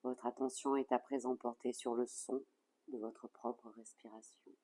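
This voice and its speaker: A yoga nidra instructor leading a guided relaxation in a calm, soothing, measured way with soft phrase endings. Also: medium pitch.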